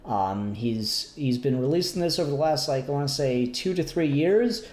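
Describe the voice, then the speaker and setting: A man co-hosting a podcast, chatting casually about a review in a halting, hedging way. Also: medium pitch, lively intonation, a little mellow. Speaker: podcast co-host. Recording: roomy echo, noticeable background noise.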